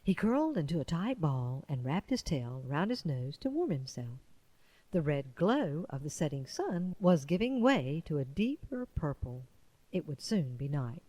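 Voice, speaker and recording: A woman's voice: low voice, very animated, measured pace, mellow, no echo, low-quality recording. A woman voice-over artist reading scripted narration as an audiobook and demo narrator.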